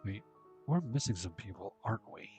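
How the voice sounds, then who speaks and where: A man's voice: lowish voice, lively intonation, brisk, a little mellow. A man doing a casual game playthrough, reading dialogue aloud in a plain, offhand way. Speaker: gameplay streamer. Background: game music.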